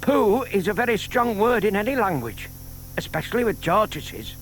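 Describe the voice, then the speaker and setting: A very high-pitched, lively older gentleman, polite and gently persuasive. He explains patiently in a children's radio-play style, on a tape with audible hiss. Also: moderate pace, exaggerated voice, rough recording. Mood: earnest, urging. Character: shy, scheming older English gentleman, gently persuasive neighbour. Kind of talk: dramatised children's audiobook acting.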